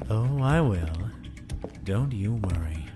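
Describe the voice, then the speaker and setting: A man playing a sneering, smug male prisoner. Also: slow pace. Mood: mocking. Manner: sneering, theatrical, lively pitch. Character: smug taunting male prisoner, cruel and mocking. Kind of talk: fan audio drama acting. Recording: music playing underneath.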